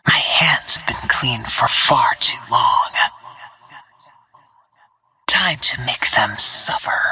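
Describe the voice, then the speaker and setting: A whispering, cold-blooded game assassin. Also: female voice, very deep voice, no echo, exaggerated voice. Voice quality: breathy, whispery, husky. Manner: slow, hushed, controlled, threatening. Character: cold, menacing female assassin who stalks her targets. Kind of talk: scripted video game character barks.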